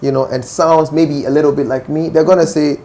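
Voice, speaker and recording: A man's voice: on the high side, steady tone, a little fast, dark tone. A young Ghanaian man with a Ghanaian accent, a musician answering interview questions assertively, often checking "do you understand?". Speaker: musician guest.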